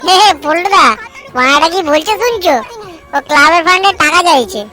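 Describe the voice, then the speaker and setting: Voice actor as high, eager cartoon sidekick. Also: fairly quick, sharp tone, soft background music. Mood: gleeful tattling. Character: squeaky, excitable tattling young sidekick of the club. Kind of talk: comedy cartoon voice acting.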